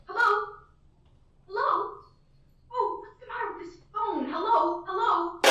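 A woman's voice: very high voice, noisy background. A student actress playing a nervous, demanding bedridden woman who stammers and frets, recorded from far off in an echoey room. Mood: frantic. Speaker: student actor. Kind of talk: school radio play acting.

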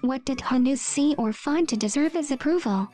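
A woman's voice: sits high, normal speed. A woman doing a scripted meme voice-over, reading out captions and short lines. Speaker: meme video narrator. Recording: music under the voice. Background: background music.

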